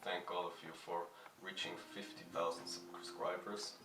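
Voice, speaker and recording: A shy man answering fan questions in a halting, casual way, with many fillers and restarts. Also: low-pitched, little pitch movement, noisy background. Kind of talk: hesitant casual talk to camera. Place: at home. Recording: echoey room, mic at a distance, music playing. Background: music.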